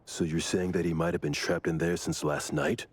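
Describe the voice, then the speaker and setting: An actor playing a stern, formal investigator in an anime video game, with a measured, deadpan delivery. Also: male voice, no room sound. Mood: concerned. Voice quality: gravelly low voice. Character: stern male colleague, formal, dryly disapproving investigator.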